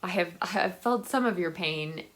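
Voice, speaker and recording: A woman's voice: fairly low pitch, normal speed, fairly bright tone. A woman chatting casually and enthusiastically to viewers in a rambling, conversational book vlog. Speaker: book vlogger.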